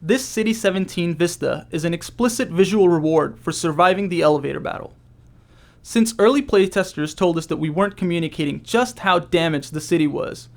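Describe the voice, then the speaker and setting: A man with a notably high voice delivers scripted developer commentary. He explains design choices from a prepared text. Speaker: game developer giving commentary.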